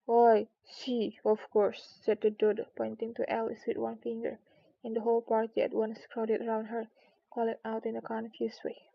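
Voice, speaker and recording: Casting: female storybook narrator, very even high voice with creak, careful reading, slightly dull recording. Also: moderate pace, no room sound. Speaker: streamer reading books aloud.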